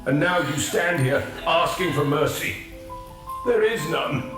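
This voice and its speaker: A man's voice: a little high, animated, unhurried. An actor playing a bitter, self-righteous husband who condemns his wife with religious scorn, in an audio drama. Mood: furious. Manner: venomous, preaching, full of religious scorn. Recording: very echoey with music underneath.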